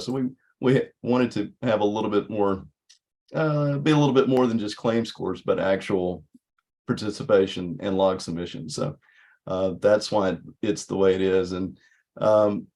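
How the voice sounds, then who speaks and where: Low-voiced man explaining haltingly. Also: a little mellow. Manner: halting, hesitant phrasing. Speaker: contest organizing group member. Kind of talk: informal explanation in an online meeting. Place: online video meeting.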